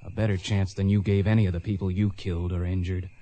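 An actor playing a grim young avenger with a solemn, deliberate manner in a radio western. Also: male voice, deep voice, flat delivery, dark tone, highs cut off. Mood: menacing. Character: grim blind young avenger posing as Death. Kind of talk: radio drama acting.

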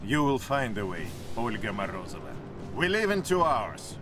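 A man's voice: mid-range voice, big pitch swings, normal speed, music in the background, close to an everyday voice. A man playing a proud, imperious monarch in a video game, with a stern, haughty and formal delivery. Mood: resolute. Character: proud, imperious male monarch, the Tsar of Russia. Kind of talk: video game cutscene acting.

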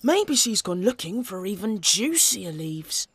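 An actor voicing a playful, easygoing pond frog in a children's cartoon, friendly and drawn-out in delivery. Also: mid-range voice, very animated, a bit bright, husky, dry recording, full character voice. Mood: relaxed, musing.

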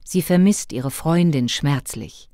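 A woman's voice: sits low, takes it slowly, warm tone, no room sound, studio quality. A woman reading scripted storybook narration for a children's audio play.

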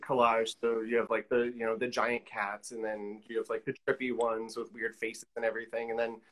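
A man's voice: medium pitch, little pitch movement, a little fast, a bit bright, rough recording. A man, chatting casually as an interview guest on a video call. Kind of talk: casual chat about own work. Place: room at home, video call.

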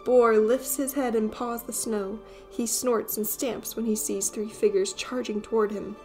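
A woman reading a children's picture book aloud as an expressive storyteller. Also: fairly high pitch, unhurried, soft background music.

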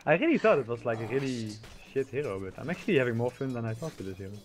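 A man's voice: mid-range voice, animated, rapid-fire. A young man chatting casually with friends while gaming, joking, laughing and swearing. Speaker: streamer playing with friends. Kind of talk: casual gaming chat on voice call.

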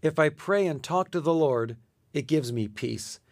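A man's voice: fairly high pitch, animated, a little slow, no echo, studio quality. A man speaking as a charity president, giving scripted answers as a radio guest.

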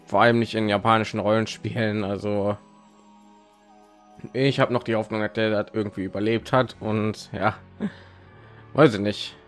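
A man's voice: on the low side, flat delivery, moderate pace, long pauses, soft background music. A man giving casual let's play commentary, thinking aloud and rambling, with phlegm in his throat.

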